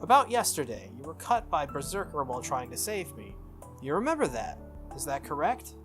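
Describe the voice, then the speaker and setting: A game player voicing a composed, solemn young woman knight from a visual novel with formal, measured, blunt courtesy. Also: medium pitch, very animated, music in the background, close to an everyday voice. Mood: formal, probing.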